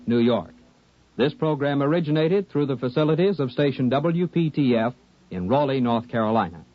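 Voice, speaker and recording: Male announcer, 1950s radio, medium pitch, formal, measured reading, dull vintage recording. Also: dry recording.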